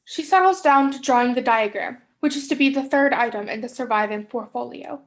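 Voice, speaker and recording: A woman's voice: a little high, a little fast. A young woman reading stage directions as scripted narration in a staged play reading. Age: young adult.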